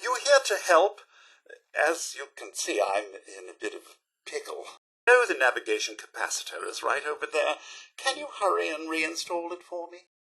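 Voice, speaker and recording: A chipper British robot butler from a video game. The voice sits fairly high for a male and swoops widely, with a sharp, raspy edge. The delivery is prim, formal and theatrical, fussy yet unfailingly polite. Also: big pitch swings, normal speed, full character voice, low-quality recording. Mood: chipper, eager. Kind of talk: video game character acting.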